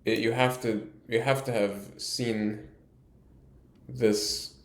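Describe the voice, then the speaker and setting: A man explaining his ideas in a casual discussion, halting and rephrasing emphatically as he goes. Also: mid-range voice, flat delivery. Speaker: speaker explaining a research ranking system.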